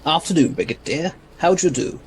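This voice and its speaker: A man playing a proud, eccentric alien scientist and time traveler in an audio drama, gravelly and formally courteous. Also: on the high side, sing-song, brisk, slight background noise. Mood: courteous, absorbed.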